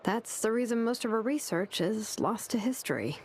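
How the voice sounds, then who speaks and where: A woman's voice: fairly high pitch, animated, moderate pace, darkish tone, slight background noise. A woman playing a thoughtful, easygoing young woman in a video game, explaining things casually. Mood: pensive.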